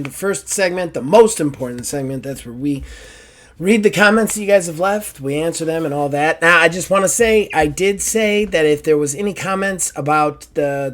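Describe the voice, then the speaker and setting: A man hosting a casual podcast, hoarse and raspy after an illness, joking and swearing freely. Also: fairly high pitch, fairly bright tone. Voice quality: raspy, strained throat. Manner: joking, profane, self-mocking. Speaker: podcast host.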